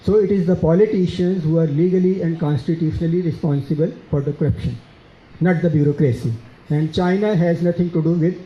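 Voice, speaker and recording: A man with a Pakistani accent answers audience questions at a conference, speaking haltingly with many fillers and repeated words. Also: on the high side, fairly even intonation. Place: conference hall. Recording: dull, echoey conference hall.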